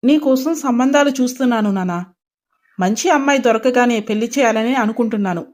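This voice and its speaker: A woman's voice: a little high, fairly quick, a little mellow, natural voice. A woman voicing a loving, worried and insistent mother of a grown son in a dramatised story, pleading earnestly.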